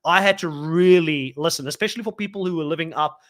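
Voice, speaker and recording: A man's voice: on the high side, fast talker, a bit bright. A young man from South Africa whose first language is Afrikaans, co-hosting a podcast and chatting casually, rambling with lots of likes and ums. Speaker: podcast co-host.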